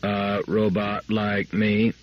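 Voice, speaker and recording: A massive, literal-minded storybook robot, performed by a man. It speaks very slowly and deliberately in a mechanical, booming voice without intonation. The sound is a little dull. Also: monotone. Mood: flat, puzzled. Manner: toneless, very slow, deliberate.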